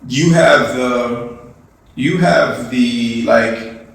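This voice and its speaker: A man's voice: medium pitch, extremely slow, gravelly, a bit bright. Chatty male host in an echoey room. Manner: conversational, restarts, "you know what I'm saying". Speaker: podcast host. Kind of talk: casual interview chat. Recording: echoey room, mic at a distance.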